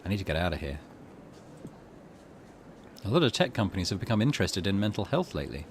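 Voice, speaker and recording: Low-voiced, lively man hosting a let's play. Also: fast, a little hiss or hum. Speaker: gaming channel host. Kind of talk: let's play commentary and reading game text aloud.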